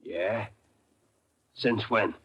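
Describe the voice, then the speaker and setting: An actor playing an excitable, suspicious gangland henchman with a rough, husky voice in an old-time radio crime drama. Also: lowish voice, drawn-out words, muffled recording, exaggerated voice. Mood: hostile.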